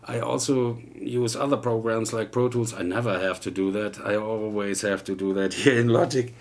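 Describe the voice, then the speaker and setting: A man's voice: fairly low pitch, moderate pace. German-accented man in a home studio. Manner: relaxed, hesitant with many fillers. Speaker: guitar tutor. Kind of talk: casual tutorial talk.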